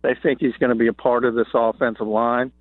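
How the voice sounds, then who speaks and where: Hoarse-ish guy on a dry phone call, confident takes, lots of uh's. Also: mid-range voice, flat delivery, fast talker, no echo. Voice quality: slightly hoarse. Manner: confident, opinionated, many "uh" fillers. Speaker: NFL columnist guest. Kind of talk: sports radio analysis by phone. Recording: tinny dry phone line.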